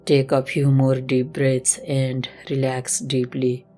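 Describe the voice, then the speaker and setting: Very low-voiced woman guiding meditation. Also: monotone. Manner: gentle, measured, instructional. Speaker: yoga and meditation instructor. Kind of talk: slow guided meditation. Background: music.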